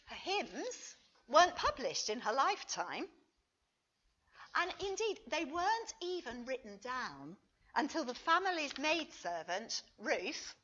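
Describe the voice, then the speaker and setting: Female conference lecturer, unhurried and expressive, reading a prepared paper on a slightly muffled recording. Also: high-pitched. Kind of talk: scripted academic lecture.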